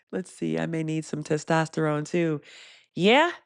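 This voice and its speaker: A woman with a fairly deep voice hosting a casual live stream Q&A. She laughs often and reads viewer comments aloud. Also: gravelly, dry recording, clean studio sound. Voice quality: deeper voice for a woman.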